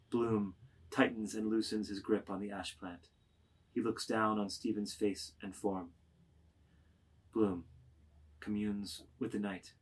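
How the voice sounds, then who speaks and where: A man narrating a literary work, reading stage directions aloud with measured, deliberate phrasing. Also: on the low side, breathy edge.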